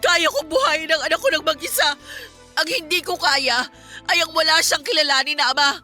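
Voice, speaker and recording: A wounded but defiant young wife in a radio drama. Her voice is very high and piercingly bright, full of pleading and indignation. Music plays clearly beneath her. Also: normal speed. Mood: tearful resolve. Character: hurt young wife and mother, proud, defiant. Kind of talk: Filipino radio drama acting.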